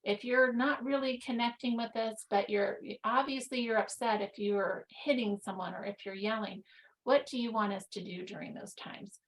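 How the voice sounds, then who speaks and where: A woman specialist giving measured, thoughtful advice in a teleconference case discussion over a video call. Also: fairly high pitch, steady tone, poor audio.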